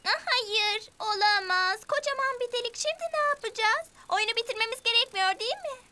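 A woman's voice: extremely high pitch, expressive, fairly quick, full character voice. A woman voicing a small, playful, inquisitive ladybird in a children's cartoon dub. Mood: worried. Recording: loud children's music behind.

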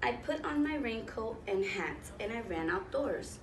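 A woman in her thirties reading a picture book aloud to young children, pausing to explain and ask them questions. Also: a little high, lively intonation. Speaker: volunteer children's story reader. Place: small classroom, indoors, seated on floor. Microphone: camera a few metres away. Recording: roomy, distant mic, noticeable background noise.

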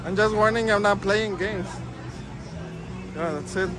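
A man's voice: sits high, normal speed. A young man vlogging on a busy city sidewalk with a handheld phone, taunting and laughing at people he films. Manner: taunting, laughing, talks to camera. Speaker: street vlogger filming restaurants. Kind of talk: confrontational street filming. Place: busy city sidewalk outside restaurant patio. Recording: noisy outdoor street recording. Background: crowd chatter and street traffic.

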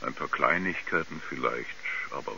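Very deep, gravelly folksy male neighbour. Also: pushed and bright, heavily acted, low-quality recording. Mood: hesitant, chatty. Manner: halting, folksy, dismissive. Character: folksy, skeptical male neighbour, chatty and hesitant. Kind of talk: 1970s German radio drama acting. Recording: hissy, band-limited 1970s radio recording.

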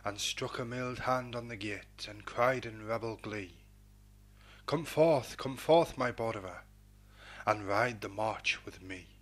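A man hosting a poetry programme and reading verse in a measured, dramatic, declamatory manner with a husky voice. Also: on the low side, a bit bright. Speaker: poetry programme host and reader.

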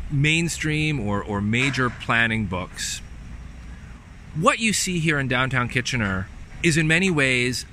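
A man speaks in a measured, explanatory way, pausing now and then to restart a phrase. His voice sits at an ordinary pitch. He is recorded outdoors on a city street, with clear traffic noise behind him. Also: bright, forceful tone. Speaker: urban planning professor. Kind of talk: walking tour explanation.

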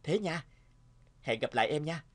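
A man voicing a weary, sentimental young husband in a cartoon, speaking in an expressive, dramatic way. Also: a little high, moderate pace, breathy edge. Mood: tender. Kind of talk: cartoon dubbing.

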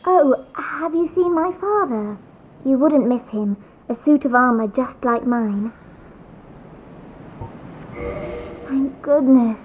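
A courteous young female knight from a video game, earnest and formal in every word. Her voice sits high. The sound is thin and phone-like, with a faint hiss underneath. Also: unhurried. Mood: hopeful.

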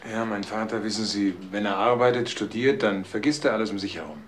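A man's voice: on the low side, a little fast, slight background noise, natural voice. An actor playing a doctor's loyal, uneasy grown son in his twenties in a German crime radio drama. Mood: explaining. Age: 20s.

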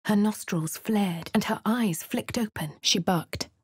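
Posh English woman narrating a game, husky. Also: mid-range voice, dry recording. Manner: refined, measured, clipped delivery. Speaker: player character narrator. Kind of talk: first-person game narration. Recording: dry studio voice-over.

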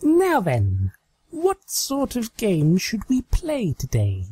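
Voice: mid-range voice, huge pitch swings, slow pace, mellow, husky, no echo, voice pushed up, heavily acted. A performer voicing a coy, melodramatic female cartoon pony magician in a fan-fiction reading, with an overly dramatic delivery. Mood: coy scolding.

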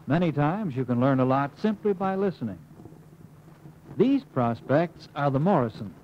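American male narrator, slightly high voice, emphatic instructional delivery, muffled 1950s film soundtrack, faint hiss. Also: sing-song, moderate pace.

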